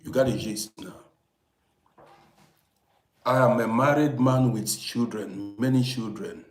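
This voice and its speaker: A man's voice: medium pitch, takes it slowly, faint music behind. A man of fifty-eight from Vienna hosts an informal livestream, talking to viewers emphatically and repeating his phrases insistently. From Vienna, Austria. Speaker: live-stream host and storyteller. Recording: echoey room sound.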